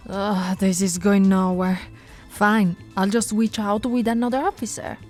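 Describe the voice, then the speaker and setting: A woman's voice: mid-range voice, flat delivery, unhurried, darkish tone, faint music behind, lightly acted. A woman voicing a flirty but firm policewoman in an ASMR roleplay, with a teasing, coaxing, authoritative manner. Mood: exasperated. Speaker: voice actor in roleplay audio.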